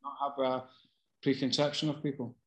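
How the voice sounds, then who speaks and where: Halting man giving advice. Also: medium pitch, flat delivery, echoey room, poor audio. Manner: halting, many fillers and restarts. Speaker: casting director answering questions. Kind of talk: casual Q&A advice.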